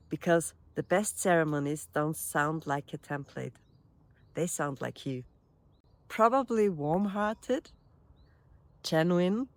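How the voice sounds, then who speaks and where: Lower-pitched Austrian-accented woman, closely recorded. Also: no room sound. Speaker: wedding celebrant. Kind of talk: promotional self-introduction, scripted narration to camera.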